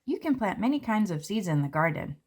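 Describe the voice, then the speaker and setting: A woman, a children's book author, reading her picture book aloud and walking through its pages in a gentle, explanatory way. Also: medium pitch, big pitch swings, brisk, a little hiss or hum. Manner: gentle, explanatory, with light fillers. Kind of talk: read-aloud and book walkthrough. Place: indoors at a table.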